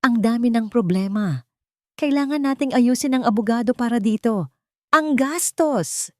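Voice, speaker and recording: A woman's voice: fairly high pitch, moderate pace, dark tone, no room sound, clean studio sound, voice pushed up, close to an everyday voice. A woman voicing a haughty older mother in a story, snappish and dismissive. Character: haughty, irritable older mother of a grown son.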